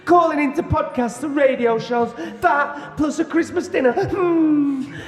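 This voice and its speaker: A flamboyant spirit of Christmas past, as a performer puts him on, loud and theatrical. He hums ominously between grand pronouncements, pitched high, with faint music underneath. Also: measured pace, roomy sound, long reverb tail. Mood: grandly mysterious. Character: flamboyant campy male ghost of Christmas past. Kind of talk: comic amateur play reading.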